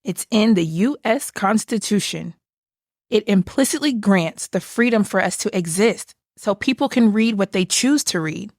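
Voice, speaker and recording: A woman's voice: mid-range voice, animated, moderate pace, darkish tone, no echo, clean studio sound. A woman playing a frank, concerned book puppet in a theatrical puppet show, speaking in an earnest, plain way. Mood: urging, firm. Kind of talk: theatrical puppet musical acting.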